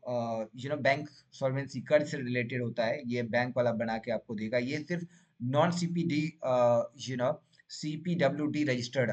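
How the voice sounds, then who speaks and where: An Indian man speaks in a medium-pitched voice as a channel host explaining things step by step. His delivery is informal and instructional. He blends Hindi with English terms and often adds short questioning tags to check the listener follows. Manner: frequent check-in tags like "right?" and "okay?".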